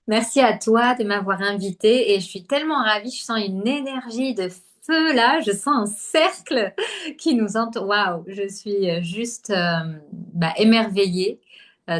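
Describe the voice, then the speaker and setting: A woman from Algeria, a podcast guest, talking casually with many pauses and fillers. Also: medium pitch, fairly bright tone. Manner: hesitant, many fillers and restarts. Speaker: podcast guest, holistic nutrition coach. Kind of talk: casual conversational interview.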